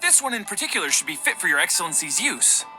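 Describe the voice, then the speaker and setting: An actor playing a humble, eager servant in a video game, speaking with formal, obsequious reverence. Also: male voice, high voice, sing-song, quick pace, over music. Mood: reverent, humble. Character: humble, deferential male servant, eager to please. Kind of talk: video game character dialogue.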